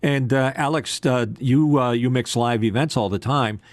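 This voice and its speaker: A man's voice: normal speed, no echo. A man co-hosting a casual talk show about radio technology, rambling and poking fun at himself. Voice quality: deep voice with strong upper mids. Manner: loose, rambling, self-deprecating joker. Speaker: co-host.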